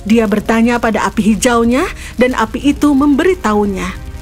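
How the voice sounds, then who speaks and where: A woman storyteller with a fairly high voice reads a scripted children's fairy tale. Her narration runs over soft background music. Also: normal speed. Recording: music behind the voice.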